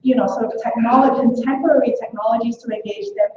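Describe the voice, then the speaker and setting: A woman's voice: fairly high pitch, fast, background noise. A woman from Singapore, giving a conference talk as an education researcher, hesitant with many fillers and restarts. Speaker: education researcher presenting at a workshop. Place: lecture room. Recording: echoey hall from far off, dull sound.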